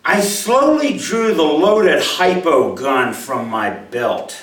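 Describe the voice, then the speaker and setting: Male writer, for live literary reading. Unhurried, animated pitch, mid register. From Mobile, Alabama, USA. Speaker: writer reading his own short story. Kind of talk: live story reading to an audience, expressive. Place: indoor room at a live reading event. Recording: roomy, echoey hall, distant microphone.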